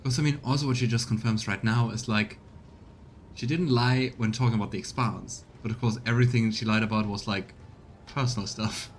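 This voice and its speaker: A man's voice: fairly low pitch, fairly even intonation, fairly quick, a little hiss or hum. A man giving casual let's play commentary and reading game dialogue aloud, with exasperated, sarcastic asides.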